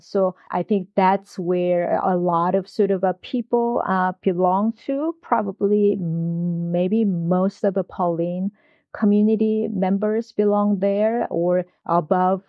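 Low, gravelly-voiced woman scholar. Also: no room sound, highs cut off. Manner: hesitant, many fillers and restarts. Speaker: guest scholar, history professor. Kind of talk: academic interview answers.

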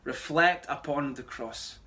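A man's voice: mid-range voice, slow pace, bright, forceful tone. A man in his thirties giving an earnest, emphatic short sermon to camera indoors. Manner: earnest, emphatic, repeats key phrases. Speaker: pastor. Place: small room at home, indoors.

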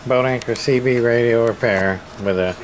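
A man giving casual hands-on repair commentary as a repairman hosting his own video, halting and muttering to himself as he works. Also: mid-range voice, a crowd in the background. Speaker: radio repairman and video host. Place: indoor repair workbench.

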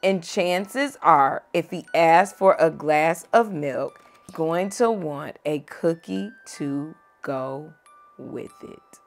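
A young woman reading a picture book aloud to a child at home, gentle and expressive. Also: low-pitched, slow. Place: home bedroom, indoors.

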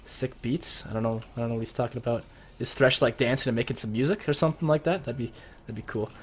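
A young man with a cold, sounding a bit husky and deeper, casually explaining strategy as he plays and comments on a video game at his computer. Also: quick pace, slight background noise. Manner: chatty, explains strategy while playing. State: sick with a cold. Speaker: gameplay commentator. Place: home room at his computer.

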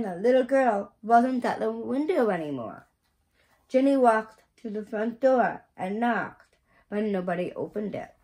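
A middle-aged woman reading a children's picture book aloud in a careful, deliberate manner. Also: on the high side, a little mellow, low-quality recording. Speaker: storybook reader. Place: small indoor bedroom.

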